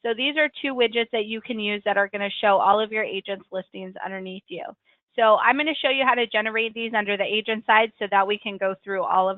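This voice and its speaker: A woman hosting a software tutorial webinar, talking in a friendly, chatty way with lots of ums, her voice a little raspy from a cold. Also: mid-range voice, no room sound. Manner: friendly, chatty, frequent ums and self-corrections. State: getting over a cold, slightly raspy. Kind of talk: screen-share tutorial walkthrough. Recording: tinny, dry call-line audio.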